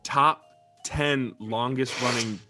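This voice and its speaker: A young man co-hosting a podcast, talking casually with friends in a halting, informal way with repeated words. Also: mid-range voice, steady tone, sharp tone, soft background music. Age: young adult. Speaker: podcast co-host. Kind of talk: casual chat among friends.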